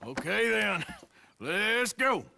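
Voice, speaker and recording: An actor playing a gruff American outlaw cowboy in a Western game, with a dry, folksy Southern drawl. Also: male voice, high-pitched, sing-song, takes it slowly, background noise, heavily acted. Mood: resigned. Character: gruff outlaw cowboy, wry and quietly menacing. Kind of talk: Western video game acting.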